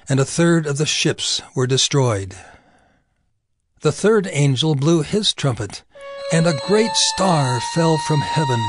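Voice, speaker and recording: Solemn male scripture narrator, slightly high voice. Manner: solemn, measured storytelling. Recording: music behind the voice.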